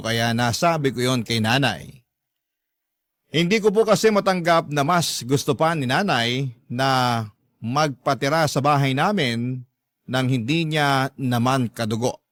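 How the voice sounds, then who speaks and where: A man's voice: medium pitch, a bit bright, no room sound, lightly acted. Man voicing a wounded son narrating, dry sound. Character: wounded grown son recounting his life story. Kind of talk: scripted first-person drama narration.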